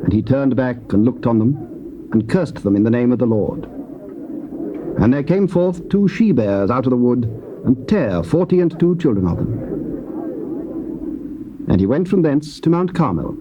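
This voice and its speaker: A man's voice: medium pitch, expressive, moderate pace, long pauses. A man with a British accent narrating dramatized scripture in formal, solemn archaic English. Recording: dull, noisy old cassette tape.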